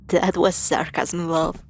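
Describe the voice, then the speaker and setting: A woman playing a playful, sarcastic young girlfriend in a spooky roleplay audio drama, teasing and expressive. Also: low-pitched, extremely slow, creaky, a little hiss or hum, exaggerated voice. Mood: sweet, sarcastic. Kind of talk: spooky roleplay audio drama, acted one-sided dialogue.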